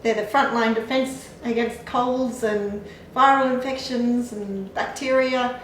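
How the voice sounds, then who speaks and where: Woman, slightly high voice, chatty and explanatory, recorded in an echoey room from a distance. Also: steady tone, a little slow, a little hiss or hum. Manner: chatty, explanatory, with frequent fillers and light laughs. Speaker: clinic staff giving supplement advice. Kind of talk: casual health chat.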